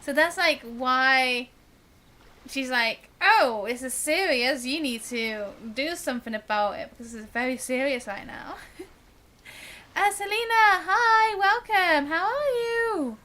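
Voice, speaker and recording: A woman's voice: high voice, slow, sharp tone. A woman game streamer giving casual live-stream commentary in a chatty, giggly way. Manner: chatty, giggly, mimics the characters.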